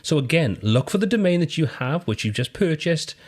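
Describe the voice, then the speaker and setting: A man from the UK hosting a screen-recorded tutorial, calmly walking through software steps in a friendly, explanatory way. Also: fairly high pitch, a little fast. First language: English. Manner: friendly step-by-step explaining, lively intonation. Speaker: tutorial host.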